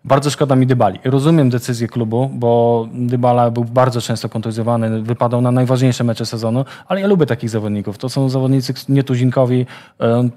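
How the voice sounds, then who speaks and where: Man, monotone, studio pundit, steady, evaluative. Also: mid-range voice, brisk. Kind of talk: studio football talk.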